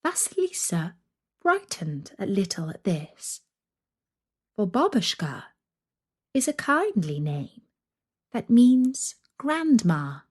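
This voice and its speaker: A woman narrating a scripted scary children's story in an expressive, measured storytelling manner. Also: medium pitch, slightly rough.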